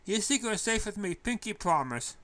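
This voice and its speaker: A man voicing a brash, teasing, excitable teenage girl in a story reading, loud and incredulous with slangy delivery. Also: sits high, brisk, voice pushed up. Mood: playful.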